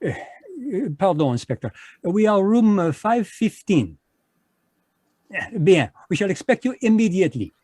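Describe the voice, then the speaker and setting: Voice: mid-range voice, very animated, measured pace, warm tone, slightly rough, dry recording. Performer playing a fussy Belgian French-accented male detective, courtly, hesitant, dry close sound. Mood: probing. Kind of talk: live radio drama reading. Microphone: video call.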